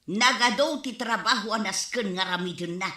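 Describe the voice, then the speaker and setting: An actress playing a nagging wife hunting for her drunk husband, scolding shrilly and calling out loudly. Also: medium pitch, normal speed, reverberant, close to an everyday voice. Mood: complaining. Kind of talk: Ilocano radio comedy drama acting.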